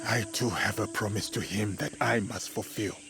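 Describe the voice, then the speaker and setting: Game role: steadfast, respectful samurai, deep-set voice, solemn formal delivery. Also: male voice, steady tone, creaky. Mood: firm, pleading. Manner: measured, formal, solemn phrasing. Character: honourable, steadfast male samurai swordsman, calm and respectful. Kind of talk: dramatic video game acting.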